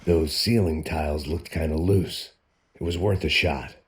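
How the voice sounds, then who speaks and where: An actor playing a middle-aged, bitter American ex-cop who narrates in a brooding, deadpan noir style. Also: male voice, very deep voice, a little slow, heavy background noise. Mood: tense, dry. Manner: world-weary, brooding, deadpan. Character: weary, bitter, self-loathing American ex-cop antihero. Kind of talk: scripted noir voice-over narration and game dialogue.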